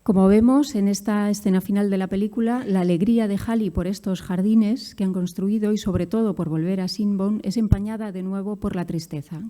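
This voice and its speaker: Woman reading a paper, very dark voice. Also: medium pitch. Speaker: academic conference speaker. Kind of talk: scripted academic paper reading.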